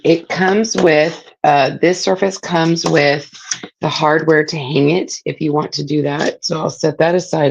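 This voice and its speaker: A middle-aged woman, raspy from a spring cold, chatting casually as she hosts a live craft demo and greets viewers by name. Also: low voice, dull audio, slight background noise. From Southern California. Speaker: craft livestream host. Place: home craft room.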